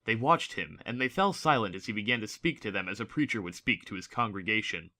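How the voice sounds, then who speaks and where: Male audiobook narrator, lowish pitch, crisp forceful edge, dramatic scripted fantasy storytelling. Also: animated, a little fast.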